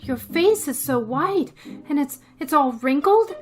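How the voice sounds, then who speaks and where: An actress playing a wry, quick-witted English teacher in a comedic radio play, dry and wisecracking. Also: female voice, sits high, expressive, darkish tone, faint music behind. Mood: flustered. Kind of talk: comedic old-time radio play acting and narration.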